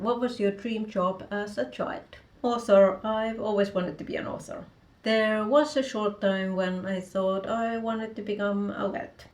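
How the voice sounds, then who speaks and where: A Finnish-accented woman, a native Finnish speaker, works through a list of vlog questions. Her pitch sits in a middle range for a woman, and she keeps her answers short and matter-of-fact. The room around her has a noticeable echo. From Finland. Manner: reads each question, then answers in short plain sentences. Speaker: author vlogger. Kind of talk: casual answers to a video tag. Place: home room with bookshelves.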